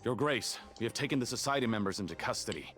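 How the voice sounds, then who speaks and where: An actor playing a dutiful guard in a video game, formal and deferential to a superior. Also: male voice, mid-range voice, lively intonation, quick pace, music in the background, natural voice.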